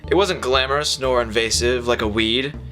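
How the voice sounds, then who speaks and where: A gloomy noir poet played by a man confesses his downfall in film voice-over. His voice is forceful and bright, melodramatic and full of self-pity, with music underneath. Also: mid-range voice, steady tone, normal speed, close to an everyday voice. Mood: reminiscing. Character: gloomy self-pitying male slam poet, brooding noir narrator. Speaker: narrating lead character.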